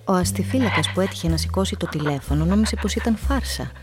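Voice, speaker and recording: A woman narrating a literary radio play in a measured, deliberate manner. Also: lowish voice, lively intonation, music in the background.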